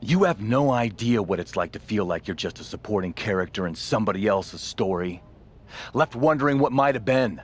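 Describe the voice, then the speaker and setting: An actor playing a boastful veteran wrestler in a video game, with theatrical, emphatic delivery and drawn-out phrases. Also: male voice, fairly low pitch, lively intonation. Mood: bitter, aggrieved. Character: boastful veteran male wrestler with a cheery, wide-eyed alter ego. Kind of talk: scripted video game cutscene acting.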